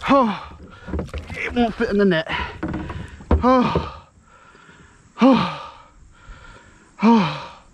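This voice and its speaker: Excited man fishing from a kayak. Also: sits high, drawn-out words, pauses often, dull audio, background noise. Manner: breathless exclamations, talks to the fish. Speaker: fishing vlogger. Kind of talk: excited self-filmed fishing commentary. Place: kayak on a pond, outdoors. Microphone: head-mounted action camera.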